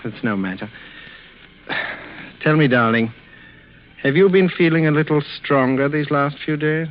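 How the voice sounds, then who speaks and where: An actor in 1940s radio style playing a scheming, falsely tender husband who speaks politely, with unctuous, measured control. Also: male voice, on the high side, close to an everyday voice. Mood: oily tenderness. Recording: muffled old radio recording, faint noise.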